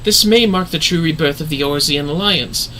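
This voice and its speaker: A man's voice: on the high side, moderate pace, pushed and bright, a little hiss or hum. A man voicing a refined, earnest woman leader in a fantasy game, speaking in formal, solemn, archaic phrasing. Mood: solemn, stirring.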